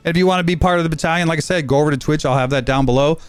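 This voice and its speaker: A man in his fifties giving a rambling, casual update on his gaming channel, talking fast with frequent fillers and restarts. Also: a little high, smooth, no room sound, soft background music. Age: 50s.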